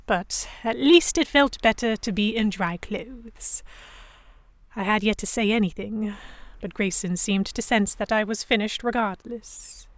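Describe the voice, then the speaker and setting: A woman plays a young Victorian noblewoman telling her own story in an audio drama. She is genteel and expressive, anxious but defiant, at a medium pitch in a very dry recording. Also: measured pace, dry recording, close to an everyday voice. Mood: embarrassed, prim. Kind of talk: first-person dramatic narration, historical romance audio drama.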